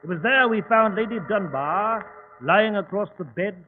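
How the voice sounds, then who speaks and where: An actor playing an excitable, easily baffled British gentleman sidekick in an old-time radio drama, blustering and exclaiming. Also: high-pitched, normal speed, close to an everyday voice. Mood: grave, dramatic. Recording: tinny, band-limited old radio recording with hiss.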